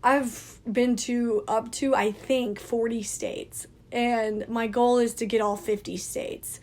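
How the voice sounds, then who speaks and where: A woman's voice: a little high, fairly even intonation, slow pace, darkish tone. A young woman with a scratchy voice, co-hosting a casual podcast and laughing often. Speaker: podcast co-host. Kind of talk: casual chat. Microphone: leaning close to a phone.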